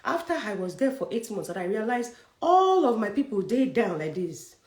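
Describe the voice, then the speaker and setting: Nigerian lady career coach preaching hard at people, pitch all over the place, roomy echo. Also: big pitch swings, normal speed. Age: young adult. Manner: forceful, preachy, direct challenges to listeners. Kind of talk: motivational talk.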